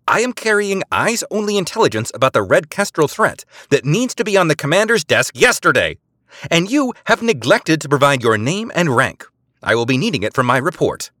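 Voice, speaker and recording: A man narrator puts on a woman spy who bluffs over the comm with imperious authority. Her voice rides high and loud, swinging widely as she barks orders. The sound is dry and close. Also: big pitch swings, normal speed, no room sound. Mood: commanding.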